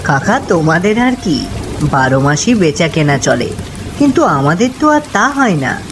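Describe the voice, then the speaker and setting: A woman acts out a male village peddler for a cartoon ghost tale. The delivery is expressive and swings widely in pitch. Noticeable ambience sits behind the friendly, jumpy character. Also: normal speed. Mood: easygoing. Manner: expressive, stammers when frightened. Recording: noisy background ambience.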